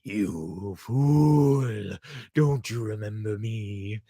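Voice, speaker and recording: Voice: mid-range voice, expressive, extremely slow, dark tone, dry recording, studio quality, exaggerated voice. A storyteller voicing a vengeful, unforgiving fire with a dramatic, accusing manner. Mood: bitter reproach.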